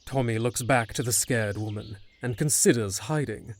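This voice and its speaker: A man's voice: mid-range voice, normal speed, very noisy. A man narrating a scripted fantasy audio drama, with music underneath.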